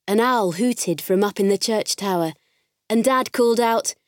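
A woman playing a spirited, candid farm girl, narrating her diary in an expressive, conversational audiobook style. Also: mid-range voice, normal speed, no echo, clean studio sound, close to an everyday voice. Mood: fond, cheerful.

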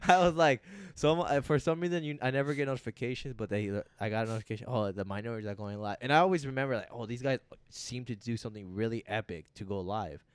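A man's voice: medium pitch, fast, few pauses, smooth, no room sound. A young man co-hosting a podcast, easygoing and chatty, telling stories and laughing along in casual banter. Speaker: podcast co-host.

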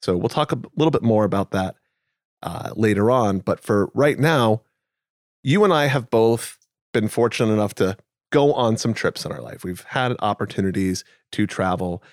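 Casting: male podcast host, lowish voice, easygoing chat, dry close sound. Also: normal speed, dry recording. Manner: relaxed, thinking aloud with fillers. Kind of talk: casual conversational chat.

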